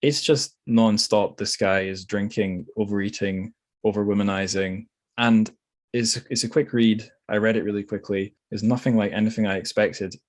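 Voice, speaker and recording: Male podcast host, slightly low register, casual literary discussion, musing and tentative delivery. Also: steady tone, normal speed.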